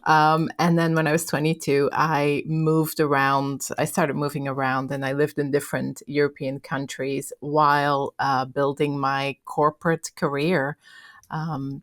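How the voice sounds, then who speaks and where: Woman, Belgian accent, middle-aged, low voice, dry close sound, podcast guest, thoughtful with pauses. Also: flat delivery, no echo. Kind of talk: interview answers.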